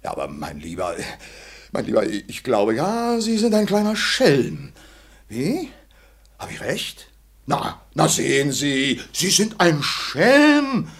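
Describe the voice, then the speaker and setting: An actor playing a jovial, condescending older colonel in a vintage radio play, pompous and given to repeating himself, with a husky voice. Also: male voice, fairly high pitch, huge pitch swings, slow pace, a bit bright, heavily acted. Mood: amused, hearty. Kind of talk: 1940s radio drama acting. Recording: 1940s radio recording.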